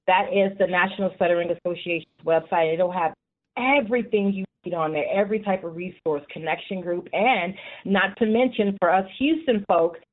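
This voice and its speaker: A middle-aged woman speaking earnestly and at length as a guest in a radio interview, with passionate conviction. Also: lowish voice. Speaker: guest, youth support group chapter leader. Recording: narrow tinny phone-like line.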